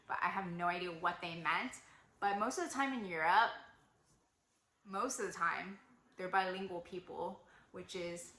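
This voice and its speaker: A woman's voice: medium pitch, sharp tone. A young woman giving casual travel advice to camera, chatty and full of fillers. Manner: chatty, lots of "like" and "you know". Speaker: travel vlogger. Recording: echoey room.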